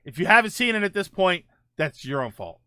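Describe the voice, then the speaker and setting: A man hosting a livestream, chatting casually with viewers in a halting way, often restarting his phrases. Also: sits high, big pitch swings, pushed and bright, dry recording, clean studio sound. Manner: halting, repeats phrase openings. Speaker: livestream host. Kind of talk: casual chat with live viewers, gaming and film talk.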